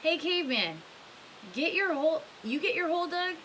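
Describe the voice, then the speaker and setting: Storybook camp kid, played by a woman: mocking teenage boy, brash, pitched high, swinging intonation. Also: big pitch swings, moderate pace, fairly bright tone, exaggerated voice, poor audio. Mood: teasing. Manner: teasing, brash. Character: cheeky, mocking teenage boys at a work camp. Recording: clear background hiss.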